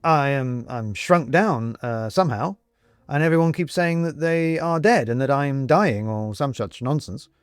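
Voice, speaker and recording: A man's voice: medium pitch, measured pace, smooth, no room sound, clean studio sound, pitched above the performer's own voice, close to an everyday voice. A man voicing a bewildered, wary man in an audiobook, stammering and hesitant. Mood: flustered, disbelieving. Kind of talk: audiobook character acting.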